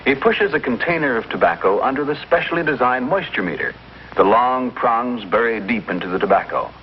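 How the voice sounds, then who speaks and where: A man narrating a 1950s television commercial as announcer, in an emphatic, polished sales-pitch manner. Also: expressive, fairly quick. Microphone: voice-over for filmed commercial. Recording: dull, noisy 1950s broadcast recording.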